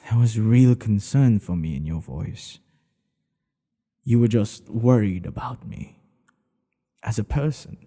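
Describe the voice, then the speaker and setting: A man playing a tender, devoted king in an intimate ASMR roleplay, speaking in an earnest, hushed, halting way. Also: lowish voice, long reverb tail, full character voice. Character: tender, devoted male monarch hiding a secret lover.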